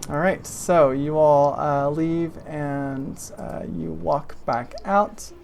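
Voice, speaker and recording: A man with a slightly high voice narrates a tabletop game as its game master, over faint noise. He improvises scenes casually, feeling his way through each sentence in a halting manner. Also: steady tone, vocal fry, darkish tone. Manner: halting, conversational delivery.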